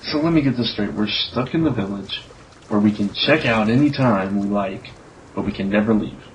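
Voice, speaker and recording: A man's voice: on the low side, normal speed, lightly acted. A man playing a bold, exasperated captive adventurer in a parody radio drama. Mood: puzzled, exasperated. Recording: slightly noisy, dull lo-fi recording.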